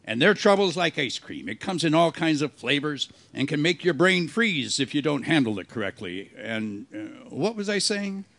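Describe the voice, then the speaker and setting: A man playing a world-weary, wisecracking private detective in a hard-boiled radio play, delivering his lines deadpan. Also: a little high, normal speed, hardly any pauses. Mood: wry. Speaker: amateur actor in a live radio play. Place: live performance on a cruise ship.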